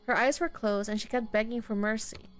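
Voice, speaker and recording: A woman with a fairly high voice plays a visual novel. She reads the dialogue aloud and adds casual reactions of her own, with some music playing behind her. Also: brisk.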